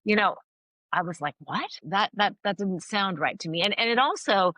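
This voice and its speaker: A woman's voice: medium pitch, expressive, normal speed, bright, forceful tone, no room sound. A middle-aged woman whose first language is Farsi, a guest giving earnest, thoughtful interview answers with frequent restarts. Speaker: guest, anthropologist and author.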